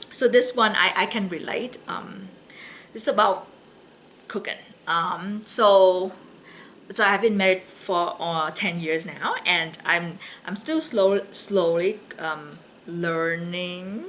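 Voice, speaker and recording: Slow, bright-voiced woman telling jokes casually. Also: medium pitch, a little hiss or hum. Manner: halting, chatty, with false starts and slips. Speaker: library story and joke reader. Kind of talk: casual read-aloud of jokes with personal chat.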